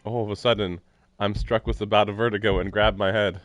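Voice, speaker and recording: Low-voiced man streaming a visual novel. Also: flat delivery, fairly quick, dry recording. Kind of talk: casual commentary and reading game text aloud. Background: faint game music.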